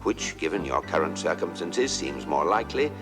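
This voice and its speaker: An actor playing an urbane guidebook narrator in a comic sci-fi radio drama, formal, measured and deadpan. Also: male voice, low voice, over music. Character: urbane male guidebook narrator, calm, drily ironic.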